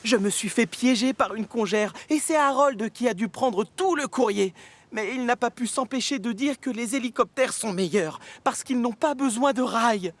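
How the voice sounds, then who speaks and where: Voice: medium pitch, normal speed, a little hiss or hum, natural voice. An actor voicing an earnest, touchy young male steam engine in a French cartoon, emotional and plaintive. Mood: dejected. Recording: music behind the voice.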